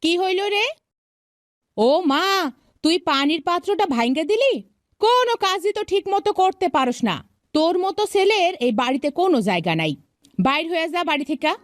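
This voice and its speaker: Woman voicing a shrill, scolding village stepmother. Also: big pitch swings, moderate pace, no room sound, clean studio sound. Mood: furious. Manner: sharp-tongued, scolding. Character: harsh, scolding village stepmother, cruel and impatient. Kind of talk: cartoon fairy-tale voice acting.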